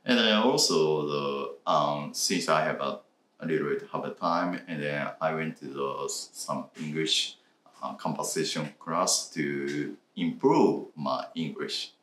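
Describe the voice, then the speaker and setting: A man with an extremely deep voice and a Japanese accent co-hosts a relaxed chat in English. He talks slowly and haltingly, searching for words. The room around him is echoey. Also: slight background noise. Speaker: co-host of a reaction channel. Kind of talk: casual chat.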